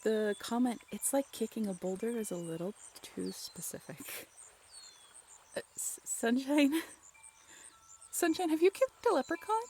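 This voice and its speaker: A high, very lively young female voice from an actress plays a teasing, affectionate girlfriend in a romantic audio roleplay. She banters in an unhurried, conversational drawl that swings freely up and down. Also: a little hiss or hum. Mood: curious, amused. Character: playful, teasing writer girlfriend of a werewolf, affectionate. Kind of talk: romantic comfort roleplay audio, conversational one-sided dialogue.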